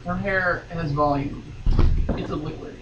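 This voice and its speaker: A woman's voice: low voice, steady tone, a little slow, lo-fi sound. A woman reading a story aloud in a wry, theatrical way, breaking off for mocking asides. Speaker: podcast guest reading a novel aloud. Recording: roomy, distant mic, faint noise.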